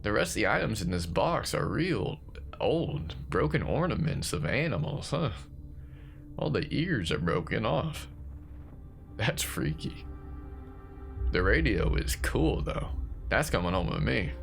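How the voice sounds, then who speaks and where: Casting: male actor as grieving, nervy man confessing into voice notes for a horror drama; low, creaky voice, stammers and second-guesses himself. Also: very animated, unhurried, pauses often, faint music behind, full character voice. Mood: wry, uneasy. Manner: jittery, stammering, self-questioning. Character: anxious grieving man, haunted older brother recording voice notes. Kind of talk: found-footage horror audio monologue, confessional voice notes.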